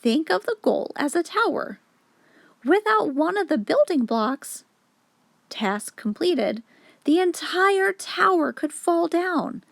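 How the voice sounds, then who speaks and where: High, animated woman reading a children's story. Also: slow, dry recording. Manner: clear, instructive storytelling for young children. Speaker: children's story reader, host. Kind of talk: expressive read-aloud of a children's picture book.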